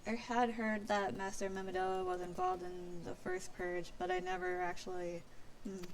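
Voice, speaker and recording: A woman's voice: a little high, very animated, moderate pace, vocal fry, slight background noise. A woman streaming a fantasy game, reading its dialogue aloud theatrically and stumbling now and then over words. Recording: music behind the voice.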